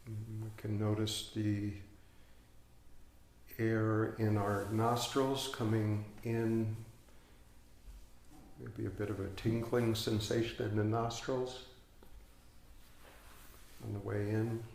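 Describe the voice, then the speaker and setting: A man teaching a guided meditation, giving hushed, unhurried instructions with long pauses. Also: on the low side, little pitch movement, vocal fry. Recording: roomy echo, faint background noise.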